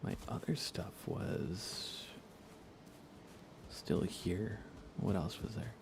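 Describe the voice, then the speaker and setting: A man's voice: sits low, drawn-out words, vocal fry, background noise. A man giving murmured ASMR commentary while livestreaming a video game, often repeating his phrases in playful mock grumbling. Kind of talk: whispered ASMR gaming commentary.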